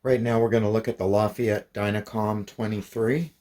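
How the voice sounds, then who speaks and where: A man's voice: on the low side, steady tone, normal speed, warm tone. A man giving a hands-on tutorial, explaining each step plainly as a hobbyist presenter.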